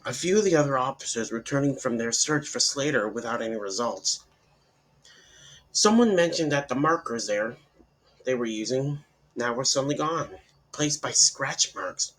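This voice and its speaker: A man with a husky voice giving a dramatic, somewhat halting reading of a horror story as its narrator. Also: mid-range voice, animated, a bit bright, rough recording.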